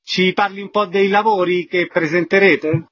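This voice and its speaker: A man's voice: high-pitched, fairly even intonation, normal speed, fairly bright tone. A man presenting a radio interview as the host. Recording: muffled, band-limited radio interview audio.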